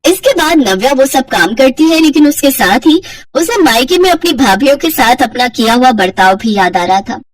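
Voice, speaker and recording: A woman's voice: sits high, very animated, moderate pace. A woman narrating a scripted moral story as a storyteller.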